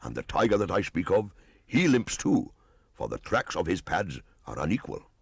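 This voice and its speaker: Bloke doing a boastful old hunter for a storybook, husky, scornful, voice all over the place. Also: male voice, low-pitched, very animated, moderate pace, no echo, heavily acted. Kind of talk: storybook audiobook acting.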